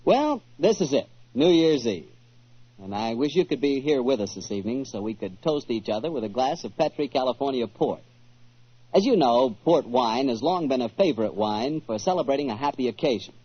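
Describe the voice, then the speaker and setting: A man's voice: mid-range voice, animated, normal speed, husky, no echo. An American man announcing in polished, salesmanlike 1940s radio style. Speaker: radio announcer and sponsor spokesman. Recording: muffled old radio broadcast.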